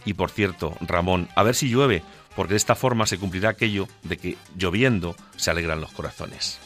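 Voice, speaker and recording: A man's voice: low voice, fairly quick, a bit bright. A man with a central Castilian Spanish accent delivering an impassioned, declamatory radio monologue, rhetorical and emphatic. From La Mancha, central Spain. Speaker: radio contributor. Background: music under the voice.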